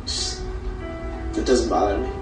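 A man's voice: fairly low pitch, unhurried. Young man in a distant, echoey recording. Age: 20s. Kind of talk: serious personal conversation. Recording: roomy, distant mic, faint music.

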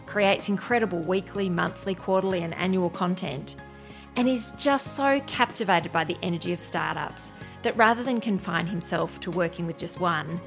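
A woman hosting an interview podcast in a polished, presenter style, introducing and questioning guests. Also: fairly low pitch.

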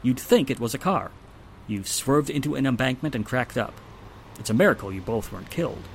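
Man acting a steady, measured police inspector. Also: mid-range voice, a little hiss or hum, natural voice. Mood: matter-of-fact. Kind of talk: old-time radio drama acting.

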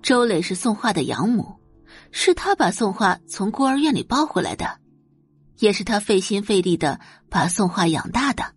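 A woman's voice: mid-range voice, big pitch swings, normal speed, husky, no echo, faint music behind. A woman narrating an audio novel in a dramatic, scripted storytelling style.

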